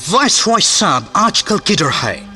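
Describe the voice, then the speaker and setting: An actor playing a stern, pompous British colonial governor in a radio play, speaking deliberately in British-accented Hindi with English phrases. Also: male voice, sits high, sing-song, bright, forceful tone, full character voice. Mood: commanding. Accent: British-style accented Hindi, put on. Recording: music behind the voice.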